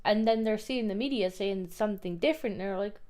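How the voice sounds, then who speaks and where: A woman with a Scottish accent softened by years in England, chatting casually on a stream and laughing often. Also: mid-range voice. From Scotland. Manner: laughs often, quick asides. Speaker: stream co-host. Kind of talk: casual chat.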